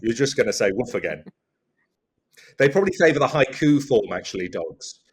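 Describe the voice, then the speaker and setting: A man's voice: mid-range voice, lively intonation, a little fast, breathy edge. A man guest on a comedy podcast, chatting casually with dry, whimsical humour. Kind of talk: casual comic chat.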